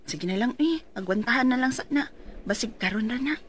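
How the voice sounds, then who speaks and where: An actress with a Cebuano accent playing a caring, reassuring wife in a radio drama. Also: female voice, a little high, expressive, fairly quick, a little hiss or hum, lightly acted. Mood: concerned. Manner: gently reassuring.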